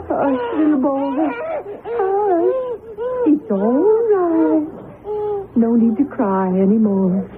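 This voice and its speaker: A woman playing a middle-aged wife who longs for a child, speaking in a breathless, emotional, halting way in a radio drama. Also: sits high, very animated, exaggerated voice. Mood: tender, urgent. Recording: muffled lo-fi radio broadcast with noise.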